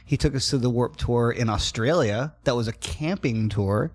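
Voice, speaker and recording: A man's voice: medium pitch, moderate pace. A middle-aged man from Florida, a guest musician on an interview podcast, telling stories in a laid-back way, often dropping "man" and "like". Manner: laid-back and storytelling, says "man" and "like" a lot. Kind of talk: casual interview chat.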